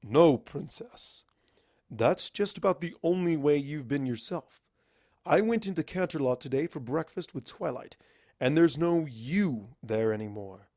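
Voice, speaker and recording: A man's voice: a little high, lively intonation, measured pace, a little mellow, dry recording, studio quality. A man voicing a blunt, challenging male pony scholar in a fan fiction reading. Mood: insistent, challenging.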